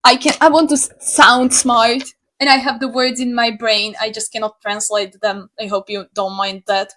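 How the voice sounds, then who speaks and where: Young woman from Slovakia, English, high voice, sarcastic, animated, swears casually. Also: moderate pace. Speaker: YouTuber. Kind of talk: opinion rant to camera.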